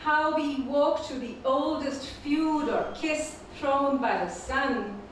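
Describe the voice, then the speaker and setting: A woman whose first language is Urdu, reading her poems slowly and repeating each first line at a live poetry event. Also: sits high, a little hiss or hum. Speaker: featured poet. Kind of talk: poetry reading with spoken introductions. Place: performing arts venue. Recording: echoey hall from far off.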